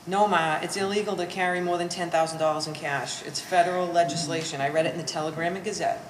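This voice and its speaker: A woman's voice: lowish voice, fairly even intonation, quick pace, close to an everyday voice. A woman playing a resentful, bookish adult daughter in a stage play, speaking with wordy sarcasm. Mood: sardonic, pedantic. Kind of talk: live stage play acting. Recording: echoey hall, distant mic, faint noise.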